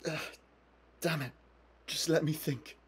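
A man's voice: fairly high pitch, steady tone, takes it slowly. An actor playing a distressed, confused man in his twenties in a video game, agitated and defensive. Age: 20s. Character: distressed, confused young man suspected by police. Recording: noisy with background ambience.

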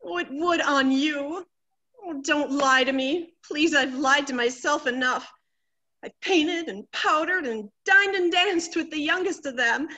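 High-pitched, fluttery vain wife, acted by a woman. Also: unhurried, sharp tone. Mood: distraught, wailing. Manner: melodramatic, fluttery, exclaiming. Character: vain, anxious middle-aged wife fretting about ageing. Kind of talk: theatrical stage comedy acting.

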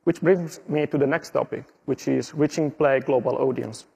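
A middle-aged man from Italy with an Italian accent, giving a steady tech conference walkthrough with small slips and fillers. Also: mid-range voice, moderate pace, creaky, husky. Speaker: conference presenter. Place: conference stage.

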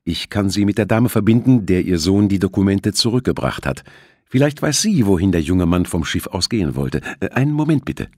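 A man acts out a courteous female ship's purser in an audiobook. The voice is lifted in pitch, brisk, helpful and animated. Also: dry recording, clean studio sound. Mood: friendly.

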